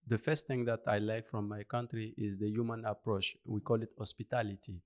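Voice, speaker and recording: A man from Ivory Coast with an Ivorian French accent, a native Bambara speaker, answering interview questions in halting English and searching for words. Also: on the low side, little pitch movement. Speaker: interview guest. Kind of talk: conversational interview.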